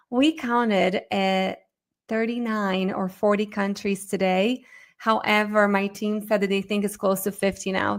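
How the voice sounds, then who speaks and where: A middle-aged woman from Brazil, a native Portuguese speaker, hosting an informal live online lesson in a chatty, animated way that addresses viewers directly. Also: mid-range voice. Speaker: course instructor and webinar host.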